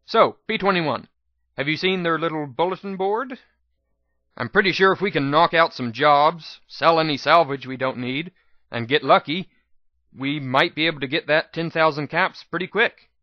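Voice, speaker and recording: A man's voice: on the high side, moderate pace, no room sound, lo-fi sound, voice pushed up, close to an everyday voice. A man voicing a brash, naive, cocky female pony heroine with a casual manner in a storybook reading. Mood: hopeful.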